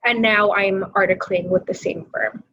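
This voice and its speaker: A woman's voice: mid-range voice, steady tone, normal speed, a bit bright. A woman with a Canadian accent introducing herself in a conversational way as a webinar panelist. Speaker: panelist, articling student. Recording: dull webinar audio, clear background noise.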